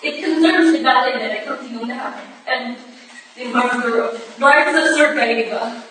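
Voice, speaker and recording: A woman's voice: fairly high pitch, normal speed, fairly bright tone, close to an everyday voice. A woman playing a proud, urgent Russian ballerina with formal, stilted phrasing in a staged play reading. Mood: grave, insistent. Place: live staged reading in an echoey room. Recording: very echoey room, distant mic, faint noise.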